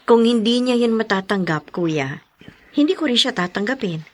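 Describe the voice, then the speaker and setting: An actress playing a gentle, devoted young single mother in a radio drama. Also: on the high side, lively intonation, moderate pace, no room sound, studio quality. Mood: earnest.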